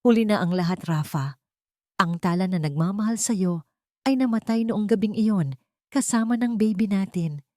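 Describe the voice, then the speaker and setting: A Filipino woman voicing a hurt, coldly resolute young wife in an audio romance, speaking in a calm, bitter, measured manner. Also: mid-range voice, no echo, clean studio sound, lightly acted. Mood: resigned.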